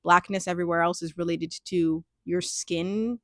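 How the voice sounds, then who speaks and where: A young adult woman speaking candidly in a reflective personal monologue, thoughtful and searching, with frequent "like" fillers and soft laughs. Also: lowish voice, fairly even intonation, normal speed, dry recording, clean studio sound. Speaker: personal storyteller.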